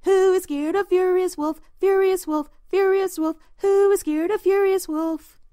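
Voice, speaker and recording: A woman's voice: extremely high pitch, sing-song, slow, warm tone, no echo, studio quality, voice pushed up, exaggerated voice. A woman voicing defiant little pig brothers from a children's storybook in a squealing, sing-song manner. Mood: playful taunting. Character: defiant little storybook pigs, young brothers, singing tauntingly.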